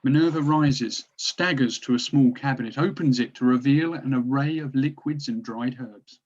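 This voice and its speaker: A man's voice: mid-range voice, normal speed, a little mellow. A man narrating stage directions from a drama script, recorded on dull, lo-fi audio.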